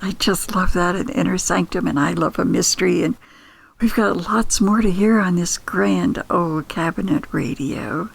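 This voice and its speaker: A woman's voice: fairly low pitch, moderate pace, vocal fry, husky. An older woman hosting a storytelling podcast in a personal, conversational style.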